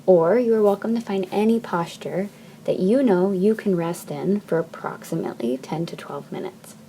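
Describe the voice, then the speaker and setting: Soft, mellow woman guiding deep relaxation. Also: slight background noise. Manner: soft, soothing, measured phrasing with long pauses. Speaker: yoga nidra teacher. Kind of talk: guided meditation, slow instruction.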